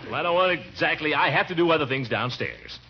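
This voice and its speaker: An actor playing a harried, grumbling middle-aged American uncle in theatrical, exasperated 1940s radio comedy style. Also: male voice, fairly high pitch, expressive, quick pace, breathy edge. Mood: weary grumbling. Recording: dull, noisy old radio recording.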